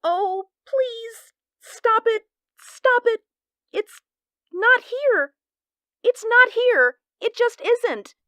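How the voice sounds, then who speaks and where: An actress in a 1940s radio drama playing a frightened young woman who talks in a tearful, high-strung way. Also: animated, drawn-out words, pauses often, sharp tone, no echo, exaggerated voice.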